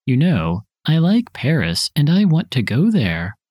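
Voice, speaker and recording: A man reading his parts in a scripted dialogue for a language listening test, with careful, even delivery. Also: fairly high pitch, measured pace, slightly rough, no echo, clean studio sound.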